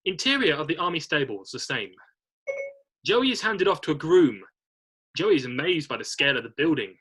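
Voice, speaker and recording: A man's voice: a little high, sing-song, moderate pace, fairly bright tone, breathy edge. A man narrating, reading screenplay stage directions aloud in an amateur read-through.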